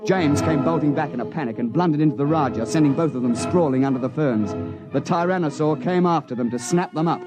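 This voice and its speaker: A man's voice: fairly high pitch, quick pace, natural voice. An actor playing a seasoned British big-game hunter who narrates his adventure in clipped, old-fashioned phrases in a 1950s radio drama. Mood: gripping narration. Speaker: narrator and lead character. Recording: vintage 1950s radio broadcast with music.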